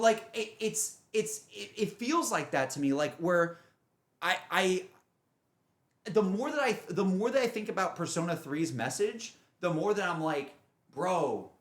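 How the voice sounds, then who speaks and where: A man livestreaming, chatting casually about games in an earnest, emphatic, rambling way that often circles back on itself. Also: high voice. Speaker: game streamer. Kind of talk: casual livestream chat, emotional game reflection.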